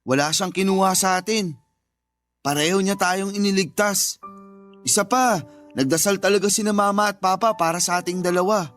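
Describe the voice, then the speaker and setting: Gentle schoolteacher younger brother: high male voice, earnest, affectionate, light music bed. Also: normal speed. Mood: happy, thankful. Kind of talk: sentimental radio drama dialogue.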